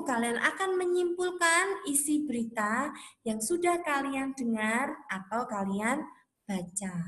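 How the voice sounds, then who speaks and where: A woman schoolteacher speaks in a fairly high voice in a roomy, echoing space. She talks to children in a chatty, encouraging way, explaining the lesson and addressing them directly. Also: fairly bright tone. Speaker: school teacher. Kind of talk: recorded classroom lesson for children. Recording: echoey, roomy sound.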